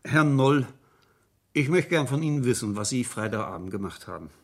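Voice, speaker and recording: Calm police inspector, husky edge, lively intonation, matter-of-fact questioning, radio crime drama. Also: male voice, medium pitch, normal speed. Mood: probing, matter-of-fact. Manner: matter-of-fact, probing, murmured acknowledgements. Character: calm, probing male homicide police inspector. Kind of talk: 1970s German radio crime drama acting.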